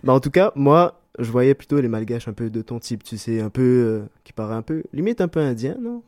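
A man co-hosting asks tentative, conversational questions. His voice is recorded dry and close, with a middle pitch for a man. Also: lively intonation, fairly quick, darkish tone, no echo. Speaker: co-host. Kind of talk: casual interview.

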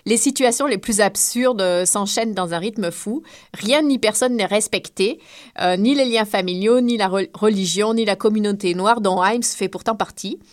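A woman co-hosting a radio book show, presenting a classic crime novel in casual chat, lively and teasing with some fillers. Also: mid-range voice, moderate pace.

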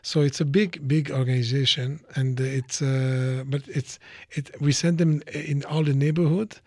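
A man's voice: medium pitch, steady tone, measured pace, a little mellow, no room sound. A man in his fifties from Ivory Coast, answering as an interview guest in short, simple, often rephrased phrases. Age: 50s. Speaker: chief of police, interview guest. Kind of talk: plain-spoken explanation in an interview.

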